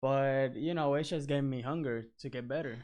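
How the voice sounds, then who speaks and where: A young man from Puerto Rico, a boxer guesting on a podcast, giving brief and easygoing answers. His voice is smooth and clear, at a middle pitch for a man. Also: moderate pace, darkish tone. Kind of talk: casual interview chat.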